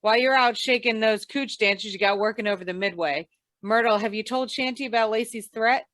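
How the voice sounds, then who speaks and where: A woman's voice: on the high side, fairly quick, a bit bright, no room sound, close to an everyday voice. A woman playing a wry, hard-boiled insurance investigator in an old-time radio drama, with dry, tough delivery. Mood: cool, commanding.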